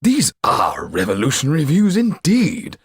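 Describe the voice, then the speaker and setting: Male narrator as pompous English gentleman, blustery, declamatory, rough husky edge, close dry sound. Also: medium pitch, wildly swooping pitch, unhurried, no echo, pitched above the performer's own voice, full character voice. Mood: taken aback.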